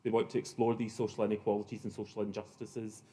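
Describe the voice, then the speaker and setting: A man's voice: fairly low pitch, level tone, quick pace, dark tone. A middle-aged man with a Glasgow accent giving opening remarks at a conference, hesitant, with many ums and restarts. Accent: Glaswegian. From Scotland.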